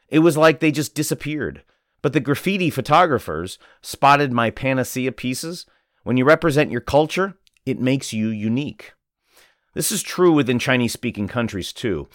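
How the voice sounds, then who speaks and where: A man's voice: medium pitch, no echo, studio quality. A man reading a magazine article aloud as podcast narrator, lifting his voice for the quoted artists.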